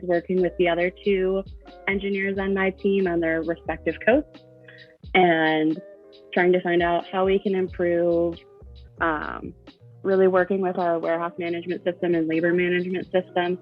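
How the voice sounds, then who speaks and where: Casual woman on a livestream mentor panel, lower voice, dull call sound. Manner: casual, plainspoken with frequent ums. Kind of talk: livestream Q&A self-introduction. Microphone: video call. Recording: dull lo-fi audio.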